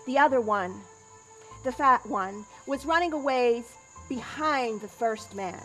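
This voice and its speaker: A woman playing a doctor's wife of the 1910s, giving a deliberate eyewitness account as a scripted historical monologue. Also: fairly high pitch, fairly bright tone, soft background music, low-quality recording. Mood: recounting. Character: doctor's wife recounting witnessing a robbers' chase in 1910s.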